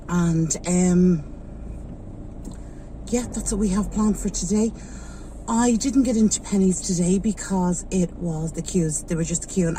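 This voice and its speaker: Irish woman, 40s, rambling car-vlog chatter, mellow tone, faint background hiss, slightly blocked nose. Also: lowish voice, poor audio. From Ireland. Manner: chatty, rambling, loses her thread. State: slightly stuffed up with a sore throat. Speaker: vlogger. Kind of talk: casual vlog chat while driving. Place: inside a car in traffic.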